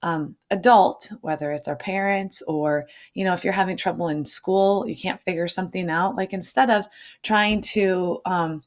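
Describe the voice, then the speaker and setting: A woman's voice: fairly low pitch, steady tone, normal speed. A woman reading a children's storybook aloud, adding informal asides and checking in with her listeners. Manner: checks in with listeners using questions.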